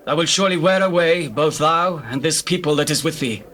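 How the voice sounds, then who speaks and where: An actor playing a wise, elderly biblical father-in-law in a solemn, measured scripture drama. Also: a little high, a bit bright, natural voice. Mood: earnest counsel. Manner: solemn, measured, counselling delivery. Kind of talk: dramatized King James scripture acting.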